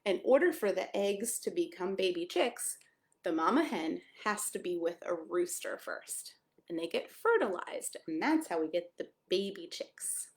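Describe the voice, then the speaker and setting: Female pastor for children's storytime: lively, widely swinging intonation, expressive reading, speaks directly to young listeners. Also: lowish voice, normal speed. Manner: expressive, addresses young children with questions and exclamations. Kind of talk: children's picture-book read-aloud with friendly asides.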